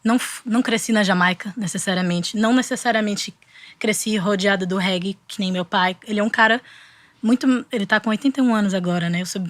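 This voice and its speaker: Young woman, Bahian Portuguese accent, middle pitch, reflective, dry close mic. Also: fast talker, dry recording. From Brazil. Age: young adult. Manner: reflective, with frequent restarts and fillers. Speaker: guest artist. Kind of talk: casual interview chat.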